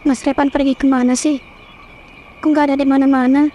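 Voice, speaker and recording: A woman's voice: high voice, level tone, fairly quick, mellow, slight background noise, close to an everyday voice. A woman voicing a worried, polite young wife in a horror cartoon. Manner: anxious, polite, questioning.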